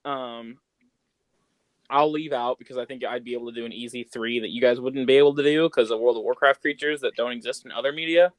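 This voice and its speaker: A man's voice: medium pitch, steady tone, fairly quick, dry recording, poor audio. A man co-hosting a podcast in casual, joking chat, with a playful, slangy way of talking. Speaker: podcast co-host.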